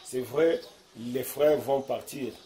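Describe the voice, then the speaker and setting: Male lay preacher, emphatic, loud-to-soft swings, echoey room. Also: mid-range voice, takes it slowly. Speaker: visiting preacher. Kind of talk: informal preaching. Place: room in a private home. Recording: roomy echo.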